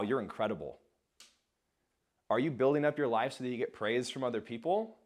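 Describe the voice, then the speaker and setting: A man giving a blunt, motivational talk to a small group and answering questions, swearing freely. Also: on the low side. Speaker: speaker and coach. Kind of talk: motivational speech and Q&A.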